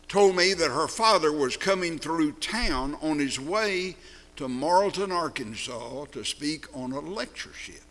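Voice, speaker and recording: Older preacher with a deep voice. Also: male voice, bright, forceful tone, big-room sound. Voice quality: deep, distinctive voice. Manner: reminiscing, slow deliberate phrasing. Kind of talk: sermon-style storytelling.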